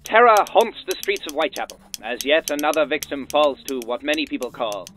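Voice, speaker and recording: A man's voice: on the high side, big pitch swings, brisk, a bit bright, faint music behind. A man playing a dramatic Victorian news announcer in a radio drama, reading scripted narration with a British accent. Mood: urgent, dramatic.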